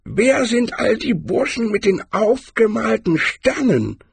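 Husky, high-pitched old barbarian. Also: male voice, expressive, no echo, exaggerated voice. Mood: matter-of-fact. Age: older. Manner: slow, drawn-out, condescendingly kindly. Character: greise, spry old barbarian hero, calm and mockingly friendly.

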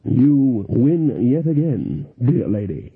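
A man voicing a smooth-talking male card sharper, slow and ingratiating. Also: medium pitch, big pitch swings, no room sound, heavily acted. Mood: oily. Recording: thin phone-like sound.

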